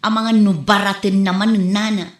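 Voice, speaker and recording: A woman's voice: medium pitch, little pitch movement, a little fast, natural voice. A woman with a Filipino accent playing a practical, wary village farm wife in an audio drama. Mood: worried, polite. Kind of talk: amateur audio drama dialogue. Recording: roomy echo.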